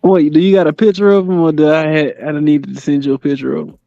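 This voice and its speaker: A man's voice: fairly high pitch, flat delivery, fast, gravelly, mellow, dry recording. A man joking casually and in slang with the others on a video call. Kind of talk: casual chat. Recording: dull lo-fi video call audio.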